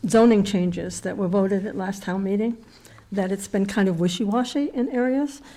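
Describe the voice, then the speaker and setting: A woman with a slightly raspy, mellow, dark voice leads a small-town planning board meeting. She talks things through in plain terms, stopping and repeating words as she finds them. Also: medium pitch, normal speed. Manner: hesitant, repeats words while thinking. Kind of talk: municipal meeting discussion.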